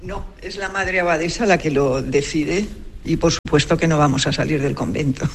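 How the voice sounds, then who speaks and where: A woman's voice: sits low, normal speed. A woman, a cloistered nun, answering interview questions in a firm, plain-spoken manner. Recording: clear background noise.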